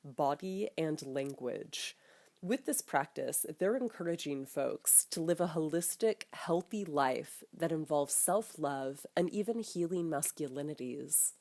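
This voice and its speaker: A woman hosting a podcast, giving a calm, guiding scripted introduction. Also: low voice, no room sound. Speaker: podcast host.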